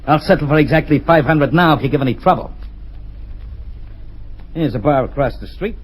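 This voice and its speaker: A man's voice: on the high side, fairly quick, slightly rough, poor audio. A man playing a cold, commanding, ruthless gang boss in an old-time radio drama, clipped and bossy. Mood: threatening.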